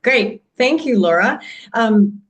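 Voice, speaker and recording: A woman's voice: on the high side, lively intonation, takes it slowly, muffled recording. A woman from Canada moderating a panel, summarizing points in an encouraging way with hesitant restarts.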